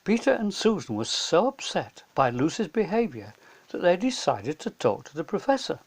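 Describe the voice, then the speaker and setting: Animated man reading a children's story. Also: a little high, normal speed, breathy edge. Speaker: storyteller, reader. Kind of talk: scripted narration of a children's story.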